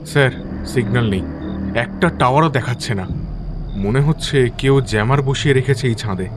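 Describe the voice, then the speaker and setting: A man's voice: medium pitch, moderate pace, noisy background, close to an everyday voice. A man playing an alarmed subordinate in an audio suspense drama. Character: alarmed male subordinate on a dark rooftop.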